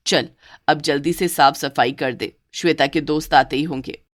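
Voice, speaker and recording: A woman voicing a doting but bossy older mother-in-law in a cartoon story, speaking lower and slower. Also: steady tone, close to an everyday voice. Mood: scolding. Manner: lower, slower, scolding toward one and sweet toward the other.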